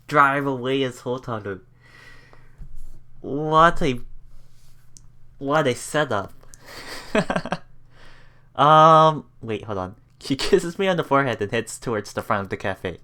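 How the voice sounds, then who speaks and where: Woman reading a game, widely swinging pitch. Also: a bit bright. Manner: giggly, reactive asides between read lines. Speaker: let's play host. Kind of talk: live reading and reacting to a visual novel.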